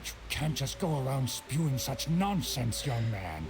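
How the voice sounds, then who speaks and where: A man playing a stern, indignant middle-aged island trader in a video game, gruff and argumentative. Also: medium pitch, big pitch swings, measured pace, music in the background. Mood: scornful. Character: stern, indignant older male island trader, grieving father.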